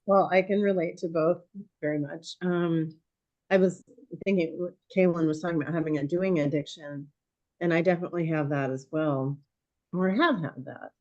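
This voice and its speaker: A woman in her sixties, a guest in a conversation, speaking thoughtfully and hesitantly with many pauses while her voice is going hoarse. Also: sits low, fairly even intonation, darkish tone, highs cut off. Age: 60s. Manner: thoughtful, hesitant with frequent ums. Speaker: podcast guest. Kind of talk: reflective conversation.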